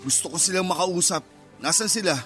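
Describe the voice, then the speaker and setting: A man playing an emotional, guilt-ridden father who is quick to anger, in a radio drama. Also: high voice, a bit bright, faint music behind. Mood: angry, insistent.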